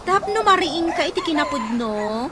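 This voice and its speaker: A woman's voice: high voice, big pitch swings, normal speed. A woman playing a nosy, judgmental village gossip in a radio comedy drama, with an Ilocano accent. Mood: scolding. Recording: loud background noise, animal sounds.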